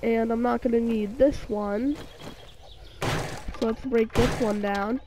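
A woman plays a survival game and talks it through at an unhurried pace, her voice a little off because she's sick. She rambles, restarts her sentences and fills the gaps with "um". Her slightly high voice sounds soft and dark, with a faint hiss behind it. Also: fairly even intonation. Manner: rambling, hesitant, many fillers and restarts. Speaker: gaming channel host. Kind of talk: casual let's-play commentary.

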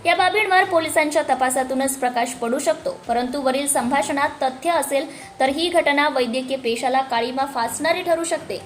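A woman's voice: high voice, normal speed, a little hiss or hum. A woman reading scripted news in a formal, emphatic manner. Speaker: news presenter.

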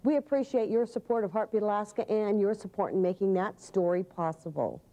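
A woman's voice: mid-range voice, brisk, dark tone, low-quality recording. A woman host reading an on-air thank-you to supporters of the show in a grateful manner.

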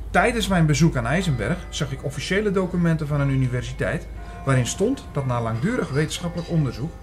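A man's voice: on the high side, a little mellow, natural voice. Man playing an earnest investigator narrating, music behind. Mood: earnest, explanatory. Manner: measured storytelling. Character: earnest male investigator narrating his own story. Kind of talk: scripted audio drama narration.

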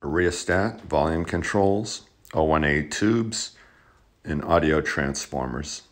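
Slow, low-pitched man giving instructions. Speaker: video host, radio demonstrator. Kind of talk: instructional demonstration narration.

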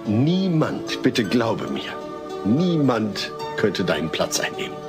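A man's voice: fairly low pitch, sing-song, slow pace, music in the background, heavily acted. An actor playing a patient, well-meaning family father who reasons earnestly in a comedy audio drama. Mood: earnest, awkward. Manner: earnest, reasoning, hesitant when awkward.

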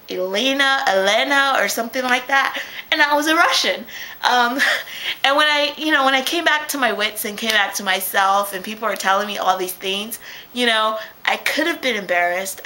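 A young woman talking casually to her webcam from a small room at home, chatty and quick to laugh, often restarting her sentences. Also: fairly high pitch, sharp tone. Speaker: vlogger. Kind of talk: casual video diary. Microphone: webcam at close range.

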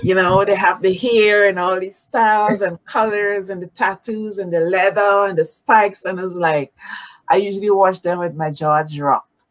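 A woman in her forties hosting a live-streamed interview from home over a video call, animated and greeting viewers by name. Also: mid-range voice, normal speed, poor audio. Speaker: talk show host. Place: home room, indoors. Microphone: video call webcam.

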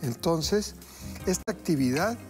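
A man's voice: a little high, animated, measured pace, soft background music. A middle-aged man hosting a TV cooking show, chatty and folksy, talking to viewers while he cooks. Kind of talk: cooking demonstration. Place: TV studio kitchen set.

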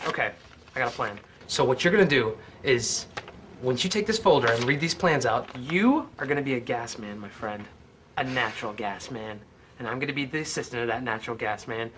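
A man's voice: mid-range voice, animated, fairly quick, fairly bright tone, a little hiss or hum, close to an everyday voice. An actor playing a nervous young hitman who rambles and stammers defensively. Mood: scheming.

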